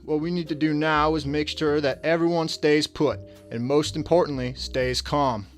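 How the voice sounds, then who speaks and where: A man playing a composed, courteous detective taking charge in a radio drama, formal and measured. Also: fairly high pitch, fairly even intonation, faint music behind, lightly acted. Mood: authoritative.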